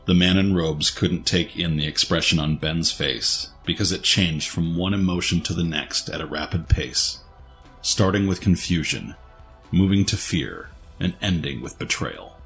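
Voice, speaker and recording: A man's voice: sits low, a little slow, creaky, fairly bright tone, soft background music. A man narrating a scripted dramatic story as the narrator of an audio drama.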